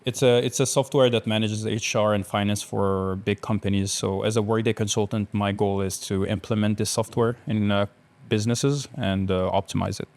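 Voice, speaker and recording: A man of twenty-nine from Algeria speaks as an interview guest. His voice is on the low side, and he tells things in a casual, conversational way. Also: fairly even intonation, normal speed, darkish tone. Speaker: podcast guest, entrepreneur.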